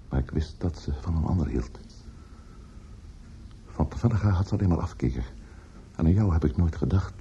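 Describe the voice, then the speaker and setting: Very deep, gravelly, hesitant older man. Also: mellow, heavily acted. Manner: halting, hesitant, repeating words. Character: older man, defensive, grieving, hesitant and earnest. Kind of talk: Dutch radio play acting. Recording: dull, noisy old radio recording.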